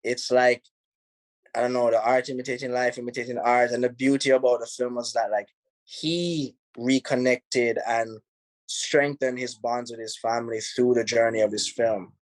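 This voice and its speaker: A man with a Jamaican accent, a film director answering interview questions in a relaxed, rambling way, full of "you know"s. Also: medium pitch, normal speed, dry recording, poor audio. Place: home in Los Angeles.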